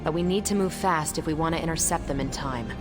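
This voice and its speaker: Casting: actress as confident game superhero captain, lowish female voice, wry and informal, music behind. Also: fast talker, natural voice. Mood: wry, brisk. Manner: breezy, wry, colloquial. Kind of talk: video game cutscene acting.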